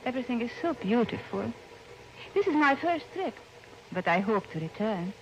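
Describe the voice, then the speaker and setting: Young swiss lady cop, highish lively voice, very polite and formal, noisy old radio recording. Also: moderate pace. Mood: friendly. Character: charming young Swiss policewoman traveling abroad, poised and polite. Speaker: visiting policewoman. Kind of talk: 1940s-50s radio drama acting.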